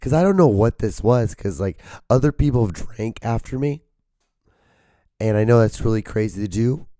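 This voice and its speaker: Hoarse man with a cracking voice. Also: lowish voice, lively intonation, moderate pace, warm tone, no room sound, clean studio sound. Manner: rambling, swears casually, strains to hold back his volume. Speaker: podcast host. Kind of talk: casual rambling solo podcast.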